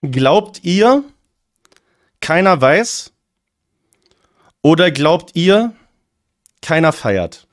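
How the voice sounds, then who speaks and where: A man whose first language is German, giving opinionated casual commentary as a streamer reacting to a rap battle, talking in fast bursts. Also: a little high, lively intonation, no echo, studio quality.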